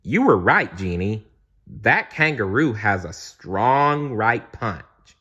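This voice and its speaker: A man's voice: lowish voice, sing-song, slow pace, pushed and bright, husky, highs cut off, full character voice. A man voicing a friendly, supportive young hero prince in a casual, encouraging way for a children's storybook. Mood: impressed.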